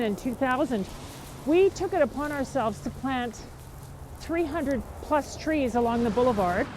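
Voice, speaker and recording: A woman's voice: high voice, noisy background. A woman who runs a business association, speaking with enthusiasm in a documentary interview. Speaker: business association executive director.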